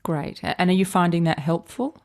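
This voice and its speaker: A woman hosting a podcast, reading a scripted intro and then interviewing a guest in a measured, friendly way. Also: lowish voice, darkish tone, dry recording. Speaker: podcast host.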